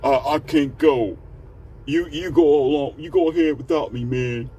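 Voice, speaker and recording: A man's voice: a little high, moderate pace, warm tone, slight background noise, voice pushed up, close to an everyday voice. A man voicing a harried married man making excuses, whiny and pleading.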